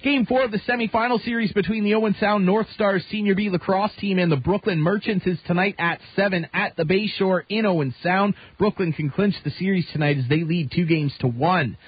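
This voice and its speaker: A man's voice: high-pitched, fairly quick, few pauses, breathy edge, no room sound, lo-fi sound. A Canadian man reading a scripted newscast as a radio news anchor. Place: radio studio.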